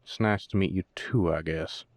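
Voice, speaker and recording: A man's voice: sits low, moderate pace, no room sound, close to an everyday voice. A man playing a sullen, sarcastic younger werewolf brother in an audio roleplay, snarky and grudging. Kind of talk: roleplay audio drama acting.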